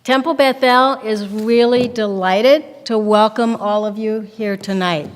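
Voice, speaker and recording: An older woman presenting an event, reading a scripted introduction in a measured, formal way. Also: a little high, steady tone. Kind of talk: scripted introduction read aloud. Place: synagogue sanctuary, microphone on stand. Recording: reverberant hall through podium microphone.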